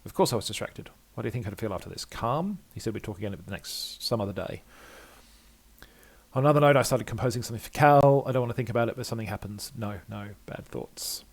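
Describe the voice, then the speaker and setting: Guy doing a nervy gravelly scholar from a fantasy game, mutters and repeats himself fast, thinks he's losing it. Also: lowish voice. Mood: reeling, anxious. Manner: rambling, self-repeating, fretful. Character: anxious, muttering male scholar doubting his own sanity. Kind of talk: dramatic reading of game journal entries.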